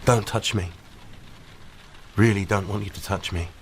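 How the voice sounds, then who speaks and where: A man's voice: lowish voice, steady tone, measured pace. An actor playing a hurt young man being left by his fiancée, clipped and sarcastic in a radio drama. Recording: faint rain noise behind. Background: rain.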